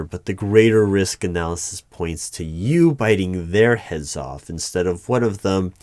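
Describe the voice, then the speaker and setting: Secret-bureau hiring manager played by a man: deep, dry close sound, formal and unflappable, measured ASMR roleplay delivery. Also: a little mellow, no echo. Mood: wary, dry. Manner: measured, formal, wordy, hesitant pauses. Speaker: roleplay performer. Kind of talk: one-sided ASMR audio roleplay interview.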